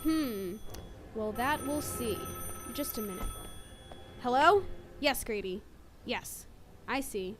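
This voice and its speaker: High-voiced, methodical police inspector, played by a woman. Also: animated, takes it slowly, pauses often, music in the background. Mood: assured. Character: cool, methodical police inspector in a murder mystery. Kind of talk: radio drama acting.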